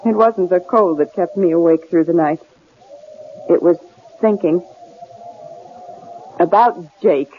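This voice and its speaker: A woman's voice: on the low side, narrow, tinny audio. A woman playing an anxious, introspective wife in an old-time radio drama, speaking in a hesitant, earnest way. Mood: soft, grateful. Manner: hesitant, earnest, halting delivery. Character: anxious, introspective young wife held captive, wanting independence. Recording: hissy, band-limited old radio drama recording.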